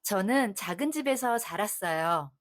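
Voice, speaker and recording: A woman hosting an English lesson, reading prompts quickly and repeating English sentences slowly and carefully. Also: medium pitch, lively intonation. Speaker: host and English learner. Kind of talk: repeating practice sentences aloud.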